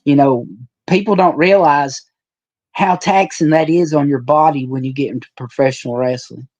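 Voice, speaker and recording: A man's voice: a little high, steady tone, normal speed, a little mellow, dry recording, dull audio. A man in his forties chatting casually and telling stories in a folksy, colloquial way as a retired wrestler on a podcast. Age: 40s. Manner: folksy storyteller with colloquial rural phrasing. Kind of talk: casual reminiscing chat.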